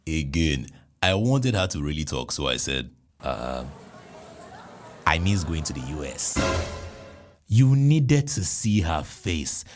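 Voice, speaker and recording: A man with a Nigerian accent, a podcast host telling a comic personal story in an animated, jokey way with exclamations. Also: very deep voice.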